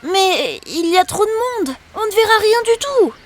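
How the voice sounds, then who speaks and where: A woman's voice: very high voice, sing-song, normal speed. A woman voicing a sassy, dramatic young female pet dog, easily fed up, in a children's cartoon dub. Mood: doubtful. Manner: dramatic, exaggerated. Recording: music behind the voice.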